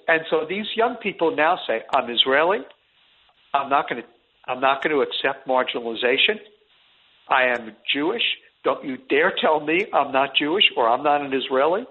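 A man's voice: mid-range voice, breathy edge. A middle-aged man speaking as an interview guest, earnest and rambling, restarting his sentences often. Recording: tinny phone line.

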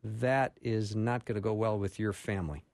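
A man's voice: on the low side, steady tone, moderate pace, gravelly, a little mellow, no room sound, studio quality. A man hosting a radio interview show in an enthusiastic, friendly manner.

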